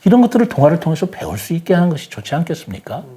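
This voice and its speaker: An older man speaking as an interview guest, giving his opinions earnestly in a deliberate, formal and polite way. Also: a little high, sing-song, dark tone.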